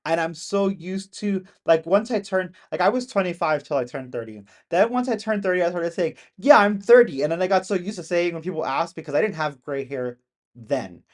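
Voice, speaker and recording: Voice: medium pitch, lively intonation, normal speed. A person in their thirties chatting casually with live-stream viewers from home in the countryside, rambling and laughing often. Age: 30s.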